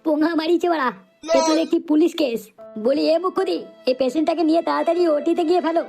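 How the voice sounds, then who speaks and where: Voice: high-pitched, quick pace, creaky, warm tone, soft background music. A performer voicing a crude, bossy hospital doctor in a comedy cartoon dub. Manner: bossy, foul-mouthed.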